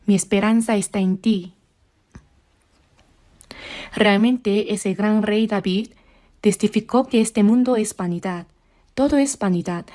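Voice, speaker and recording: A woman delivers a sermon in a warm, mellow voice. She speaks at a measured pace and draws out the scripture passages slowly. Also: mid-range voice. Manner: measured, slows down for scripture readings. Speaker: preacher. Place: church.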